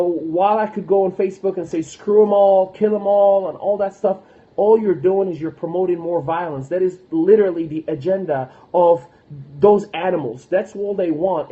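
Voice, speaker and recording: Earnest moroccan-born guy on a livestream, high voice, lots of ums and restarts, kinda muffled audio. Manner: earnest, many fillers and restarts. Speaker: motivational speaker. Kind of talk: casual livestream talk.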